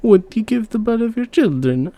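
A man with a gravelly voice voicing an impulsive storybook king in a raised, emphatic tone. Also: sits high, very animated, normal speed, dark tone, no echo, voice pushed up. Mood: desperate.

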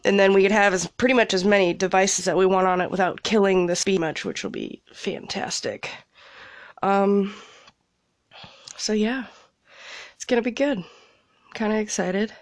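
A woman's voice: mid-range voice, normal speed, pauses often, no echo. Young woman, congested cold, rambling solo podcast chat, casual swearing, dry closet sound. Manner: rambling, relaxed, swears freely, laughs often. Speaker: podcast host. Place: small closet, indoors.

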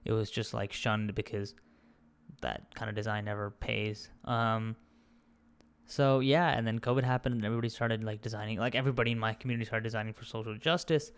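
A man's voice: lowish voice, steady tone, brisk, clear, resonant tone. A young man from India with a mixed British, Australian and American accent, speaking as a podcast guest in a candid, reflective way. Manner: reflective, candid, searching for words. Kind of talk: casual conversational interview.

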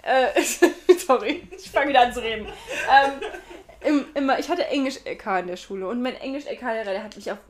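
A young woman in her twenties chats on a casual podcast in a high voice that sits well above most women's. She rambles, giggles and keeps restarting her sentences as she tells her stories. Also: normal speed, slight background noise. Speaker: podcast co-host. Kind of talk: casual chat.

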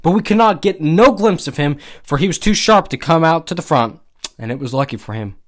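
A man's voice: on the high side, animated, a little fast, pitched above the performer's own voice, lightly acted. Man reading as a sentimental small Union veteran. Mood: animated. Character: small shriveled gray-whiskered Union veteran, sentimental and earnest.

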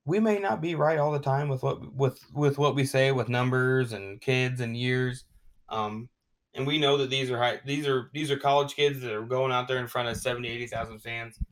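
A man hosting a casual sports podcast, talking excitedly and gushing about his predictions, often restarting his sentences. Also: mid-range voice, normal speed, recorded from a distance. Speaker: podcast host.

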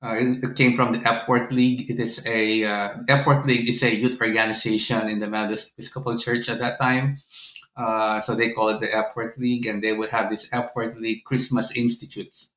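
A man's voice: medium pitch, level tone, brisk, fairly bright tone. A middle-aged man from the Philippines with a Filipino accent, telling his own story conversationally as a podcast guest. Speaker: seminary professor, podcast guest. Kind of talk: conversational personal storytelling in an interview. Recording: roomy, echoey sound.

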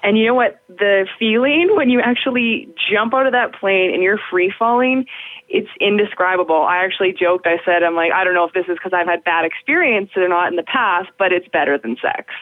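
A young woman in radio with a deeper voice, chatting casually as an interview guest and laughing often. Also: fairly quick, no echo. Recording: tinny phone line.

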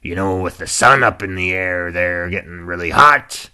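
A man's voice: sits low, moderate pace, pushed and bright, smooth. A man voicing a grumpy old rhinoceros in a children's story, muttering and hesitating as he talks. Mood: hesitant, muttering. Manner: grumbling, hesitant muttering with ums. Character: grumpy old cantankerous male rhinoceros, big, forgetful, muttering.

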